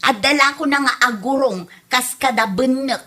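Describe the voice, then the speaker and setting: A woman's voice: high voice, moderate pace, bright, forceful tone. A woman playing a haughty, fussy village housewife in a comedy audio drama, whining and emphasizing things dramatically. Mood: haughty, whiny. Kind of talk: comedy radio drama acting.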